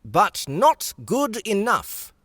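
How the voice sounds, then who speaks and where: A man's voice: sits high, huge pitch swings, pushed and bright, dry recording, voice pushed up, full character voice. A man voicing a stern, impatient enchanter teacher in an audiobook. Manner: slow, lecturing.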